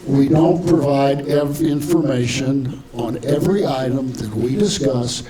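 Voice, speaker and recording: A man's voice: mid-range voice, measured pace, over a noisy crowd, poor audio. A man chairing a condominium board meeting, firm and procedural, often repeating set phrases.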